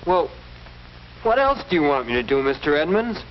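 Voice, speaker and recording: Casting: an earnest, well-mannered teen boy for vintage classroom film, lightish pitch, hissy soundtrack. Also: very animated, normal speed. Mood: eager, polite. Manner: earnest, polite, deferential. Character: earnest, polite teenage schoolboy. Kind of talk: 1940s educational film acting. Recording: muffled, noisy old 1940s film soundtrack.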